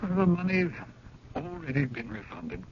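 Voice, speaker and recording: Courtly museum elder, higher-set voice, formal and roundabout, kindly with a sly streak. Dull-sounding 1970s radio drama. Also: male voice, very animated, normal speed, crowd noise. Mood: measured, kindly. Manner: formal, leisurely, roundabout speech. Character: genteel, courtly museum committee elder, slyly kind. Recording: muffled, noisy old radio broadcast.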